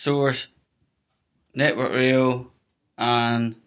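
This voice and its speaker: Casting: Scottish male vlogger, lowish flat-toned voice, unhurried, emphatic informal advice, muffled lo-fi sound. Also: a little hiss or hum. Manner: emphatic, repetitive, checks in with "right?". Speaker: vlogger giving storm safety advice. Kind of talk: informal spoken advice to viewers.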